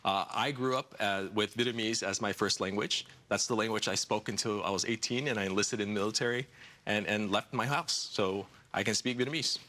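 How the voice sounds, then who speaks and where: A man's voice: lowish voice, fairly quick, bright, forceful tone. A man in his forties, a candidate answering questions in a TV news studio, earnest, with frequent fillers and repeated words. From United States. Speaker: congressional candidate. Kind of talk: TV studio interview.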